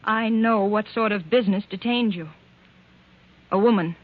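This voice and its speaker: A woman's voice: mid-range voice, normal speed, narrow, tinny audio, lightly acted. An actress playing a hurt, suspicious wife who accuses her husband, in 1940s radio drama style. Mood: bitter, accusing. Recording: band-limited old 1940s radio recording.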